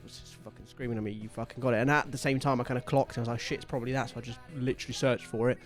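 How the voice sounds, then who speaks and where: A man hosting a casual band podcast, chatty and quick to joke and tease. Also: mid-range voice, fairly even intonation, soft background music. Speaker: podcast host and band member. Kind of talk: casual chat.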